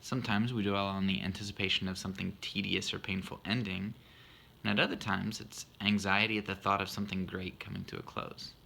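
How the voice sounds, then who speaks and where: A man's voice: low-pitched, steady tone, fairly quick, gravelly, bright, forceful tone. A man in his thirties explaining a poem he wrote for his wife, earnest and thoughtful with a self-deprecating humor. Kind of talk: reflective spoken monologue.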